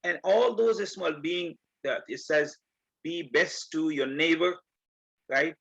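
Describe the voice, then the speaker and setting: A man from Bangladesh giving a community presentation, explaining step by step and earnestly, with many pauses. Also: a little high, vocal fry, fairly bright tone, breathy edge. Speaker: guest speaker. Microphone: video call. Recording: muffled video call audio.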